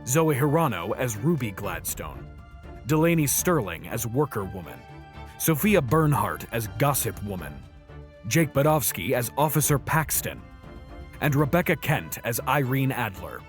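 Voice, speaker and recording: Guy reading show credits, lively up and down voice, middle pitch, faint music. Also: measured pace. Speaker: credits announcer. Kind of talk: scripted credits announcement.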